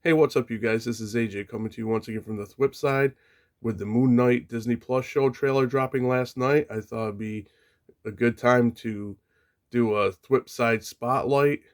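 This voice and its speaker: A man of middle pitch hosts his own video channel, chatting casually and eagerly. His voice sounds slightly off, like he's still getting over being sick. He often stops and restarts his sentences. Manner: chatty, enthusiastic, with frequent restarts. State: getting over an illness, voice a bit off. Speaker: channel host. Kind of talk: casual vlog talk.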